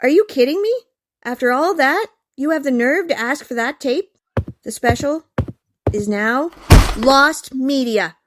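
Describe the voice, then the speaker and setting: A woman's voice: high-pitched, expressive, unhurried, fairly bright tone, a little hiss or hum. A woman playing a stern, annoyed, unforgiving character in an audio comedy-drama, curt and sarcastic. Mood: angry, cold.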